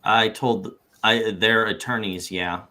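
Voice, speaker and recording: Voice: medium pitch, steady tone, slow, pushed and bright. Someone playing an evasive businessman on the witness stand. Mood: guarded. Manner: evasive, hedging, noncommittal. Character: evasive, hedging male businessman testifying as deposition witness. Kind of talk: read-aloud deposition transcript.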